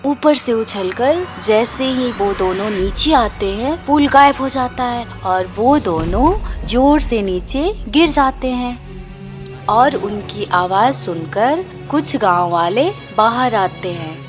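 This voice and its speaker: A woman reads a scripted children's tale as narrator. Her voice is somewhat high and she takes it very slowly. Faint music plays underneath. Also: expressive. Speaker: story narrator.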